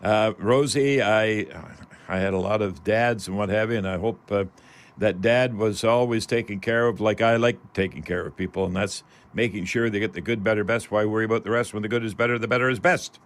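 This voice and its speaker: A Canadian man hosting a call-in radio show, chatting casually in a folksy, rambling, halting way. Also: on the low side, fairly even intonation. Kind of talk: casual live radio chat.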